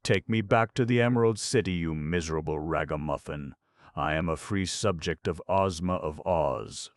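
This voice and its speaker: A man voicing a haughty patchwork rag-doll girl with a shrill, defiant way of talking. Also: animated, takes it slowly, no room sound, studio quality, full character voice.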